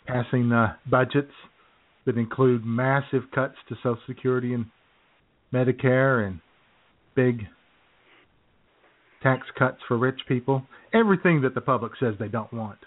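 A man co-hosting a radio show, trading sardonic political jokes in a halting, casual way. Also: mid-range voice. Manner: sardonic, jokey, halting with fillers. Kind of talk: political comedy chat. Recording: phone-like lo-fi sound.

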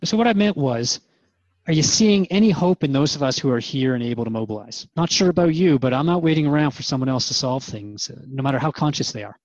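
A man's voice: medium pitch, expressive, fast talker, a little mellow, no room sound, lo-fi sound, lightly acted. A man playing an earnest, inquisitive human seeker in a radio drama, talking conversationally and a little hesitantly. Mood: searching, concerned. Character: earnest, questioning human man seeking hope for planet.

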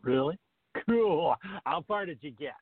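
Man acting a high-voiced, goofy slow bachelor. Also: lively intonation, husky, no echo, heavily acted. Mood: eager, goofy. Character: dim, cheerful young bachelor and aspiring actor. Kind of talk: farce radio play acting. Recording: muffled, phone-like narrow-band audio.

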